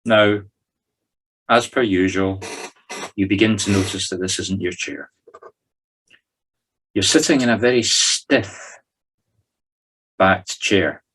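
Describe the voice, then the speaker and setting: A man's voice: lowish voice, slow pace, pauses often, a bit bright, husky, poor audio. A Scottish man leading a guided meditation, talking listeners through each step in an informal, conversational way. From Scotland. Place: recorded in a group video call.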